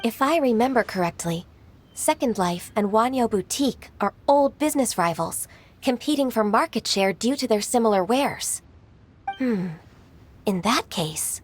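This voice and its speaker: An actress playing a composed young official in a video game, speaking in a measured, courteous, formal way. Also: female voice, on the high side, lively intonation, a little hiss or hum, lightly acted. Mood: thoughtful. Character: composed, polite, businesslike young female official. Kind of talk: English video game voice acting. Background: soft game music.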